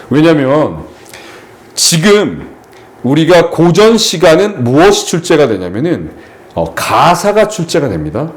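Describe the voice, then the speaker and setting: A middle-aged man tutoring exam literature, lecturing at a seminar in an explanatory way with frequent fillers and restarts. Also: a little high.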